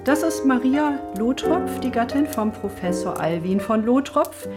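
A prim society hostess. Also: female voice, a little high, lively intonation, measured pace, over loud music. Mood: welcoming. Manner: formal, gushing introductions. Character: officious society woman hosting a reading circle. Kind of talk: radio drama acting.

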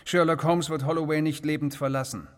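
An actor playing a secretive, courteous and menacing crime lord in a radio drama, with measured, theatrical delivery. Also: male voice, a little high, fairly even intonation, lightly acted. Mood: solemn, courteous. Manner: measured, theatrical, dropping into whispers. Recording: very reverberant hall.

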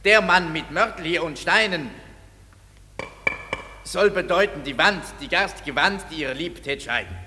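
An actor playing an earnest amateur prologue speaker, declaiming rhymed radio play verse in a pompous, halting, emphatic way. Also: male voice, on the high side, background noise, natural voice. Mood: pompous presenting. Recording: reverberant hall.

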